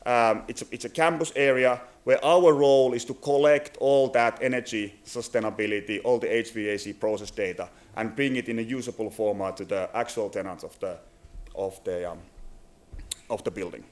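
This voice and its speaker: Halting Finnish man presenting. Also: fairly low pitch, fairly even intonation. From Finland. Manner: halting, many fillers and restarts. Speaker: company presenter. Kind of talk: business presentation. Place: conference venue.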